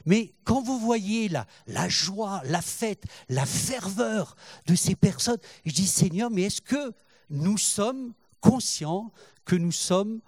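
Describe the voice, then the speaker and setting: An older man preaching a sermon in French, halting and repeating words, rising in fervor as he goes. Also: high voice, lively intonation, darkish tone, dry recording. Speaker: pastor.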